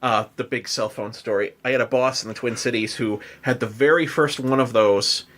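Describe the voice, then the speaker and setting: Man, American accent, average pitch, podcast host, casual storytelling, rambling. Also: normal speed, fairly bright tone. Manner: rambling, frequent "like".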